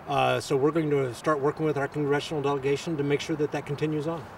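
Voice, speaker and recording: Man, kinda high voice, local official giving halting interview answers, mic's a bit far, some street noise. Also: little pitch movement, a little mellow.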